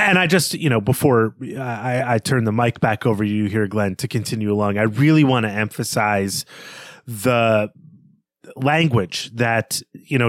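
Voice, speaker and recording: A man's voice: fairly low pitch, dry recording. A man co-hosting a podcast, talking through a literary analysis in a thoughtful, emphatic way into a close microphone. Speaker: podcast co-host. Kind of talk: literary discussion, conversational analysis.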